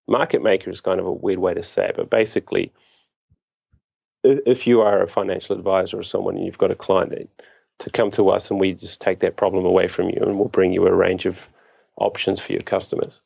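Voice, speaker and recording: A man in his thirties with a New Zealand accent, answering interview questions in a halting, reflective, self-deprecating way. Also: sits low, gravelly, darkish tone, no echo. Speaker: interview guest, business co-founder.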